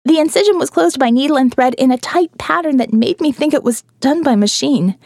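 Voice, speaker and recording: A woman narrating an audio novel in the first person, dramatic, expressive and wry. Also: high voice, a little fast, creaky, dry recording, studio quality.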